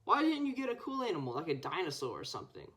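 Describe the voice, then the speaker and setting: Scornful schoolboy bully voiced by a young woman, lively. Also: fairly low pitch, quick pace. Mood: mocking.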